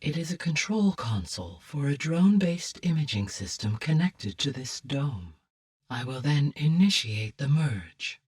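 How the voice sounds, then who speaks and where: A woman voicing a calm, courteous, motherly artificial intelligence in a video game, speaking softly with measured, precise, formal diction. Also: sits low, breathy edge, far from the mic, exaggerated voice. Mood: calm, explanatory. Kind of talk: scripted video game voice acting.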